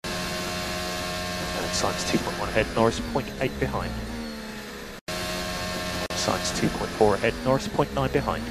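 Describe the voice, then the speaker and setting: A man's voice: low voice, fairly even intonation, measured pace, long pauses. A man working as a race engineer, giving terse, matter-of-fact instructions over motor racing team radio. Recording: noisy car radio transmission.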